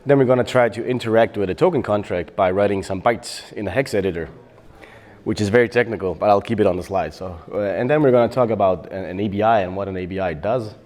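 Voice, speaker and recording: A middle-aged man from Bosnia giving a technical conference talk on developer tooling, relaxed and explanatory, with frequent fillers and self-corrections. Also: fairly low pitch, fast talker. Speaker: software developer presenting.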